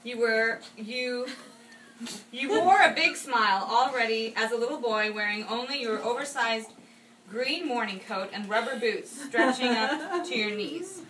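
A woman's voice: a little high, measured pace. A woman reading a speech aloud at a party, with casual asides. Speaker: toast reader at a birthday party. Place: indoor house party in a kitchen. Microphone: handheld phone camera a few feet away. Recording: noisy party, phone mic at a distance.

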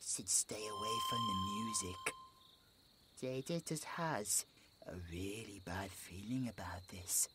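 A British man voicing a gentle, childlike possessed male creature who talks about himself in the third person with broken grammar. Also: on the low side, slow pace, heavy background noise. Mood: uneasy, hushed. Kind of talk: horror audio roleplay.

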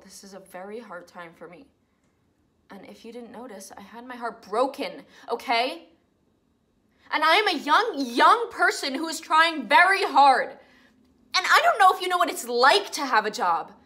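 A woman's voice: high-pitched, very animated, a little slow, pushed and bright, full character voice. A young actress performing an emotional monologue as a hurt young woman lashing out at the people she lives with. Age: young adult. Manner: emotional, accusing, pleading. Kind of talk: dramatic acting monologue.

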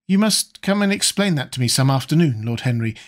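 A man reading aloud and voicing a poised society lady who speaks politely and softly. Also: mid-range voice, animated, fairly quick, natural voice. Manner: polite, murmuring.

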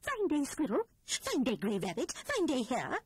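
A woman's voice: sits high, wildly swooping pitch, moderate pace, slightly rough, no echo, pitched above the performer's own voice, heavily acted. A British woman voicing a cheery little brown rabbit in a children's storybook. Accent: British English.

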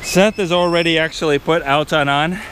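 A man's voice: on the high side, fairly even intonation, brisk, noisy background. A man in his forties hosting a casual vlog, chatting to viewers as he hikes through a tropical jungle with a handheld camera. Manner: chatty, narrating to viewers while hiking. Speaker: vlogger. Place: outdoors in a tropical jungle by a river. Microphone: handheld action camera.

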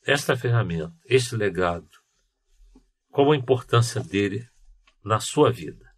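A male interviewer with a very deep voice frames his question slowly and formally. He chooses his words with deliberate care and lingers on each phrase. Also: lively intonation, breathy edge.

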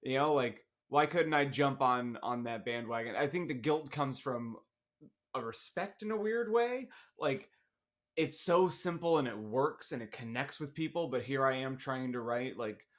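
A male podcast co-host talks casually with friends in a small room. His voice sits at an average pitch, and he has a self-deprecating way of putting things. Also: moderate pace, fairly bright tone. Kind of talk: casual banter. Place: small home studio room.

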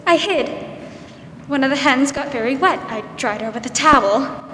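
A woman's voice: high voice, moderate pace, a bit bright. A woman acting a strange, fearful, childlike and stubborn older farm sister in a 1940s-style radio drama. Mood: nervous. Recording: roomy stage hall, faint noise.

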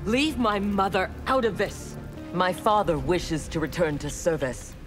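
A woman's voice: fairly low pitch, a little slow, loud music behind the voice, lightly acted. A woman voicing a proud, ruthless young female ninja warrior in a video game, speaking in a cold, haughty, clipped way.

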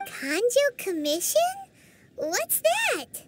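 Squeaky, very high childlike fairy companion. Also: female voice, huge pitch swings, extremely slow, music in the background, heavily acted. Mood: surprised, curious. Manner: exclamatory, eager. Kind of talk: video game character acting.

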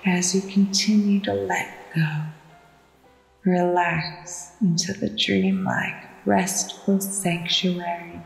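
A woman narrating a guided sleep meditation in a soothing, hushed and deliberate manner. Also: fairly low pitch, fairly even intonation, vocal fry. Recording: echoey long reverb with music behind. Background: relaxation music with ocean waves.

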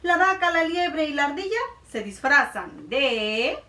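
A woman in her thirties reading a picture book aloud to children in a playful, expressive way, in a small room. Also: high-pitched, unhurried, echoey room, recorded from a distance. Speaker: children's librarian. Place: small room indoors, before a board.

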